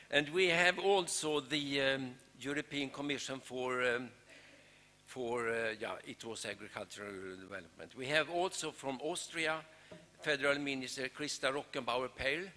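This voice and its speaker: A man opens a conference and welcomes the guests, pausing often and reaching for names. His voice is bright and carries a long reverb from a big hall. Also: medium pitch, slight background noise. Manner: halting, many fillers, searches for names. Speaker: event moderator.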